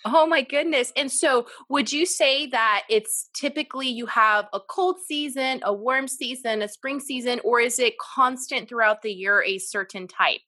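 A woman's voice: a little high, moderate pace, hardly any pauses, pushed and bright, no room sound, clean studio sound. A woman from California's Central Valley hosting a podcast interview, asking questions in an upbeat, encouraging way. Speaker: podcast host. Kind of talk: casual interview chat.

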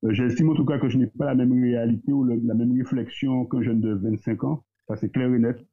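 A man, talking as a guest in a casual discussion, thoughtful and hesitant, pausing often. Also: medium pitch, steady tone. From Guadeloupe. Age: 30s. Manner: thoughtful, hesitant, with many pauses and fillers. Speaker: guest, entrepreneur. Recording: tinny phone-like line.

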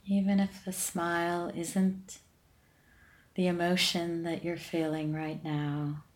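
A woman with a low-pitched voice guides a meditation. Her delivery is very slow and even, with soothing, gentle instructions and long pauses between phrases. She sounds calm and unhurried throughout. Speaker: meditation podcast host and guide.

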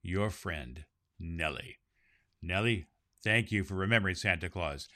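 A man's voice: sits low, pushed and bright, no room sound, clean studio sound. Man playing jolly, theatrical Santa Claus, dryly recorded. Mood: kindly, jolly. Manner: theatrical, drawn-out phrasing, ho-ho-ho chuckles. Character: jolly Santa Claus hosting and reading to children. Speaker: host and story reader. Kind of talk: storybook reading and children's show hosting.

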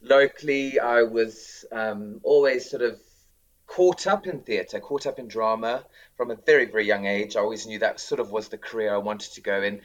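South African male drama teacher in his thirties, low voice, relaxed rambling guest. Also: moderate pace. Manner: warm, chatty, many ums and restarts. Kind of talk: casual interview.

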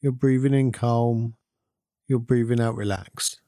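A man guides a meditation with a mellow, relaxed voice at a medium pitch. He speaks calmly and soothingly, giving gentle instructions without hurry and leaving long pauses. The sound is dry and close, with no room around it. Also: no echo. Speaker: meditation guide and podcast host.

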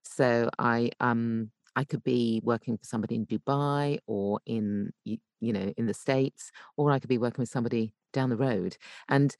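A British woman talking conversationally as an interview guest, explaining things in an animated, emphatic way from a home voice booth. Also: very deep voice, normal speed, no echo, clean studio sound. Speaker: podcast guest, voice actor and coach.

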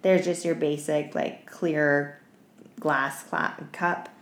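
A woman's voice: low voice, steady tone, takes it slowly. A tired woman co-hosting a casual podcast, chatting in a candid, informal, jokey way. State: tired after staying up all night. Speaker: podcast co-host. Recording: roomy echo, clear background noise.

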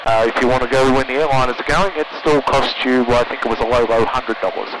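A man with an Australian accent talking casually and haltingly as a pilot, over an aircraft intercom. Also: medium pitch. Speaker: pilot and podcast co-host. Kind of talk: casual chat about flying. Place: cockpit of a small aircraft in flight. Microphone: aviation headset intercom. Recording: noisy aircraft intercom, engine drone.

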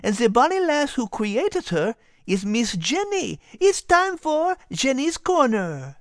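High, playful character. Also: female voice, very animated, slow pace, dry recording, studio quality, exaggerated voice. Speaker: host.

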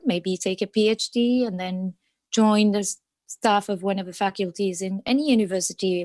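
A woman's voice: medium pitch, darkish tone, no room sound. A woman, a diplomat giving hesitant, friendly answers in an interview, full of fillers and restarts. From Iraq. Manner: hesitant, many fillers and restarts, laughs lightly. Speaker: guest, diplomat.

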